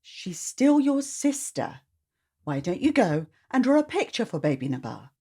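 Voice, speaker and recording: A woman voicing a gentle, coaxing mother or aunt in a children's story, with a singsong manner. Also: on the high side, big pitch swings, measured pace, slightly rough, pitched above the performer's own voice, exaggerated voice.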